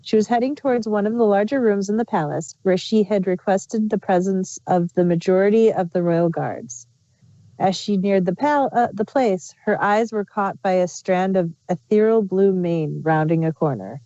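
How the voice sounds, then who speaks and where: A woman's voice: medium pitch, moderate pace, mellow, no echo, muffled recording. A woman reading scripted fan fiction aloud as the narrator.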